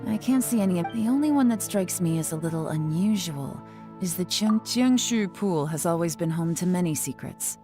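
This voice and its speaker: Give a low, measured female voice from an actress for a shrewd game investigator who is coolly in command. She sounds analytical and self-assured. Music plays clearly behind her. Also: expressive, lightly acted. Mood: cool, composed. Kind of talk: video game voice acting. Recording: background music under the dialogue.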